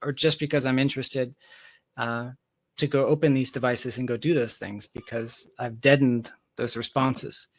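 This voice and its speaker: A man's voice: mid-range voice, creaky, dry recording. A man talking casually as an interview guest, explaining his ideas emphatically and repeating phrases for stress. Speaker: interview guest, tech company founder.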